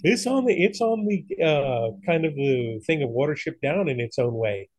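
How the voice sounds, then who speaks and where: A man's voice: a little high, expressive, normal speed, a little mellow. A man in casual conversation, talking in an opinionated, emphatic way. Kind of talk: casual chat.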